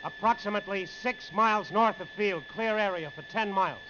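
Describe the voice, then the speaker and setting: An actor playing a shaken, insistent air force major and test pilot in an old-time radio drama, speaking with urgency. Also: male voice, high voice, fairly quick, natural voice. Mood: businesslike, tense. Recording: muffled, faintly noisy old radio recording.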